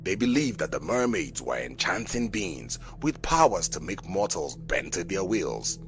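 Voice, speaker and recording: A man's voice: deep voice, vocal fry. A man narrating a scripted folk tale as a storyteller. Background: background music.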